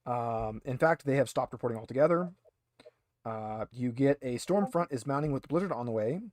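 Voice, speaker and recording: A man's voice: mid-range voice, lively intonation, a little fast, a little mellow, no echo, studio quality. A man acting as game master, narrating a tabletop roleplaying game in a conversational, hesitant way.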